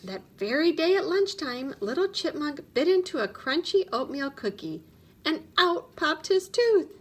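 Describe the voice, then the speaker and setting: Female children's storybook reader: high-pitched, very lively intonation, expressive narration. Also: a little slow.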